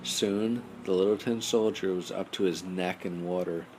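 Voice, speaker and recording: Male storybook narrator, lowish voice, measured bedtime read, amateur home recording with some noise. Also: fairly even intonation. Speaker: audiobook narrator.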